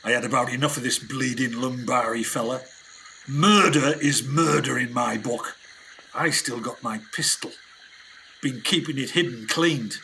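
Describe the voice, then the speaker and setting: An actor playing a rough, indignant British scientist on a 1920s jungle expedition, speaking in emphatic slang for a radio play. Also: male voice, medium pitch, unhurried, sharp tone, slight background noise, rough recording. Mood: angry, resolved. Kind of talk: dramatic 1920s adventure radio acting.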